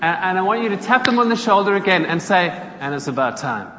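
A man's voice: high voice, sing-song, fast talker, bright, forceful tone. South African man speaking in an echoey church. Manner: chatty, engages the audience with questions. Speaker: guest speaker from a charity. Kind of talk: church talk to a congregation. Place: church hall. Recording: echoey hall, faint ambience.

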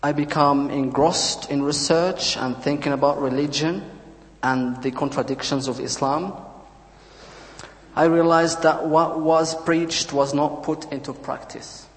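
A man's voice: on the high side, steady tone, a little slow. Moroccan man speaking in a reverberant hall. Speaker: church member giving testimony. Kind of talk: prepared personal testimony. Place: large church room, speaking to a congregation.

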